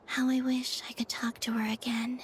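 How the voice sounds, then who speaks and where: Woman voicing a soft, high-voiced serene moon goddess. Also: little pitch movement, a little hiss or hum, close to an everyday voice. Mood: wistful. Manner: soft, measured, formal, gentle speech. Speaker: video game character. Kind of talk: scripted game voice acting.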